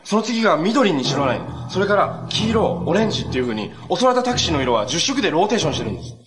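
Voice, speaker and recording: A man's voice: high voice, big pitch swings, fast talker, music in the background. An actor playing a cheerful, earnest young male hero in a live-action TV superhero drama, speaking politely and expressively. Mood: explaining, focused. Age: young adult.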